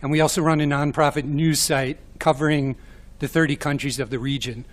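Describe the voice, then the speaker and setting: A man's voice: a little high, fairly even intonation, a little fast. Man with a New York accent. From New York City. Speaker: panelist, media organization director. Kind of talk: panel talk.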